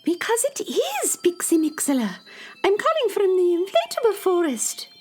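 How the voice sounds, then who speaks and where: A woman's voice: extremely high pitch, wildly swooping pitch, normal speed, full character voice. A woman playing a small, bubbly female fairy with a sing-song delivery in a children's audio drama. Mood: chirpy, friendly. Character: small chirpy female fairy calling for help.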